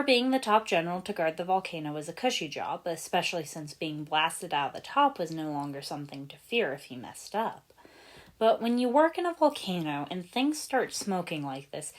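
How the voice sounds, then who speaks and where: A woman reading a fan fiction story aloud as an expressive scripted narration. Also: fairly low pitch.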